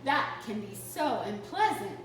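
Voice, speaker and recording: Woman playing a dainty, high-voiced affectionate lady. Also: sing-song, unhurried, heavy reverb, far from the mic, slight background noise. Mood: sweet, polite. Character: affectionate, dainty lady sweetheart, easily overcome.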